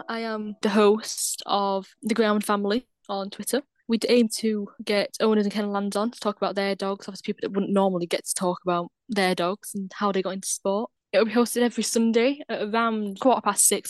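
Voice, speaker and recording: A woman's voice: medium pitch, dry recording. A woman, giving a plain, matter-of-fact rundown of her online show. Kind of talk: pre-recorded plain announcement.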